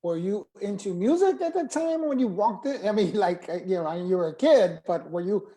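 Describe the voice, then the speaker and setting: Casting: man as informal interview show host, dark soft-edged tone, loose rambling delivery. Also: high-pitched, expressive, a little fast. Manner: rambling, rephrases questions several times.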